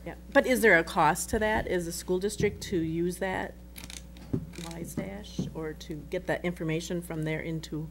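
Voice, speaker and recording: A middle-aged woman at a school board meeting, asking questions in a halting, restarting way into a desk microphone. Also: fairly low pitch, steady tone, gravelly, background noise. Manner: halting, restarts and trails off between thoughts.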